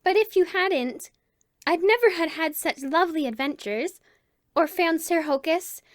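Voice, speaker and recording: A woman doing a high, eager little girl. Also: animated, unhurried, no echo, clean studio sound.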